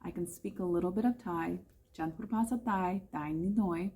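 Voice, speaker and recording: A woman's voice: lowish voice, normal speed, mellow, breathy edge. An American woman who teaches English, chatting casually about her life abroad and often correcting herself with fillers. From United States. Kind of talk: casual talking-head vlog.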